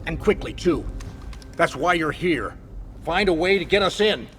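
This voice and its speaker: An actor playing a bossy, impatient soldier giving orders in a video game. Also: male voice, on the high side, lively intonation, moderate pace, a bit bright, soft background music. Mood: commanding, impatient.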